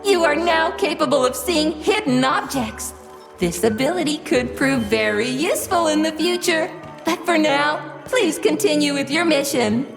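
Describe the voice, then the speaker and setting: High, chirpy robot guide in reverb. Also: female voice, expressive, moderate pace, vocal fry, faint music behind. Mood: polite, instructive. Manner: chirpy, formal, over-polite presenter patter. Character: cheerful polite female robot guide in Soviet utopia. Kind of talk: scripted video game character dialogue.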